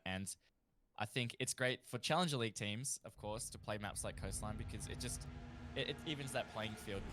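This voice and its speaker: An Australian man provides colour commentary on an esports match. He speaks in a fairly low voice, explaining tactics in a conversational, analytical manner. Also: background noise.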